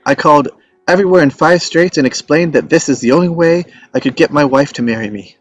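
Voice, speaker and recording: A man's voice: a little high, a little fast, no room sound, soft background music, natural voice, rough recording. Man playing an earnest lovestruck young romantic, lightish voice. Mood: sincere. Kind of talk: dramatic table read of a screenplay.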